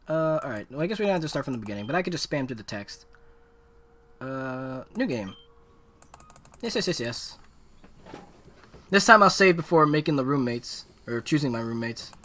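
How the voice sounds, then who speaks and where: A man live-streaming a game, giving excitable, casual, slangy commentary and reading on-screen text aloud. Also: on the high side.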